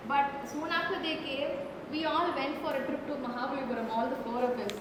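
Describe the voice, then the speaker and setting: High-voiced Tamil Indian woman in echoey hall. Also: fairly even intonation, a little fast. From Tamil Nadu, India. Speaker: photographer presenting a residency project. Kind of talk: unscripted panel presentation. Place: large reverberant indoor event space. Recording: very echoey hall, clear background noise.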